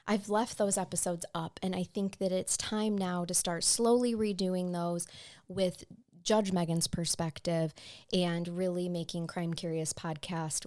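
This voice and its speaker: A woman's voice: lowish voice, darkish tone. A woman hosting a podcast, talking in a bubbly, chatty way that moves between ad reads and banter with her co-host. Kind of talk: casual chat.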